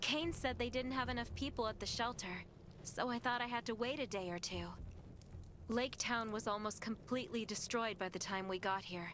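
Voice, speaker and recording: A woman's voice: a little high, normal speed, a bit bright, close to an everyday voice. Woman acting busy survivor medic, noisy ambience. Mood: relieved. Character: busy, caring female survivor medic at an outpost. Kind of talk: video game quest dialogue.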